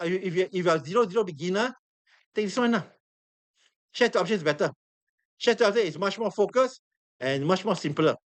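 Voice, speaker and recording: A man with a Singaporean English accent teaching a live trading class. He is hoarse from losing his voice, repeats himself often and throws questions at the room. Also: high-pitched, fairly bright tone. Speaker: trading instructor.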